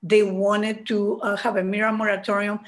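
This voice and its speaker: A woman's voice: medium pitch, little pitch movement, normal speed. An older woman with a heavy Chilean accent, a guest in an interview, telling her story earnestly with many fillers and restarts. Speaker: guest, mural advocate. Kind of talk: casual interview.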